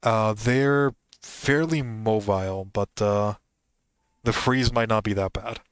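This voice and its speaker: A man's voice: fairly low pitch, fairly even intonation, takes it slowly, clear, resonant tone, no echo, clean studio sound. Casual man commentating over gameplay. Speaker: gaming video host.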